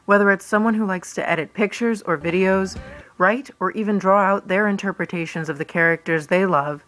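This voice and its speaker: A woman's voice: medium pitch, a little fast, a bit bright, rough recording. A woman reading scripted narration as the narrator of a documentary.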